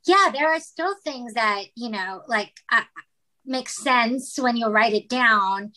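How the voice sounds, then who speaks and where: Slow-spoken bright woman guest. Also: on the high side, rough recording. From Philippines. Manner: hesitant, many fillers and restarts. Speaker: guest, writer and actress. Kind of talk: casual interview conversation.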